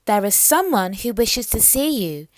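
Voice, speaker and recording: A woman's voice: on the high side, big pitch swings, a little slow, dry recording. A woman voicing a dutiful mermaid servant from a storybook, giving firm instructions. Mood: calm, firm.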